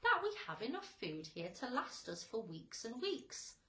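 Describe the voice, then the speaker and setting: A woman's voice: a little high, wildly swooping pitch, a little slow, a bit bright, echoey room, exaggerated voice. A woman voicing a confident, patient young boy in a storybook, speaking earnestly and emphatically.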